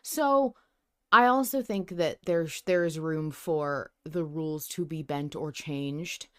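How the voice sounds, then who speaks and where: Low-pitched woman, casual podcast chat. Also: takes it slowly, clear, resonant tone. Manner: informal, sweary. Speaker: podcast co-host. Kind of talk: casual fan discussion.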